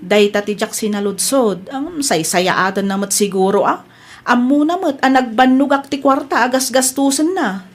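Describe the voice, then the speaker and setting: A woman's voice: fairly high pitch, moderate pace, close to an everyday voice. A woman acting a dutiful, hardworking married elder sister in an Ilocano audio drama. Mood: earnest.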